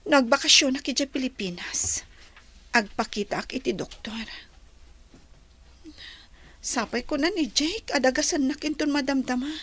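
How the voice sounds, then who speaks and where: A woman playing a softly wistful young migrant worker in an audio drama. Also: high voice, normal speed, pauses often.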